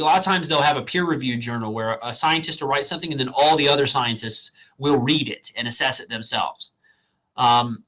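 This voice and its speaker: A man teaching a college writing class in a recorded slideshow lecture, talking in a loose, conversational way, tagging "right?" onto his points, his voice going hoarse. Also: mid-range voice, sharp tone, muffled recording. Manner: conversational and digressive, asks "right?" often. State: losing his voice.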